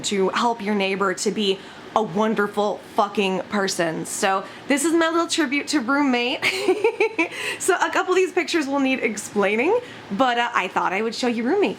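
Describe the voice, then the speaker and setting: A young woman vlogging from home, her voice scratchy from just waking up, rambling casually with lots of giggles. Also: fairly high pitch. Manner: giggly, rambling, frequent laughs and swearing. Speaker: YouTuber. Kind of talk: casual vlog chat.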